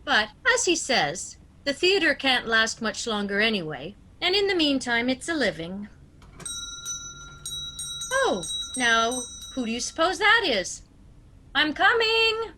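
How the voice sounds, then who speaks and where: Actress as hospitable elderly aunt, radio comedy: genteel, fussy, very animated, bright. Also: female voice, high voice, unhurried, slight background noise, full character voice. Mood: doting, chatty. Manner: fluttery, doting, genteel. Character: sweet, fussy, hospitable old spinster aunt. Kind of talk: radio play acting.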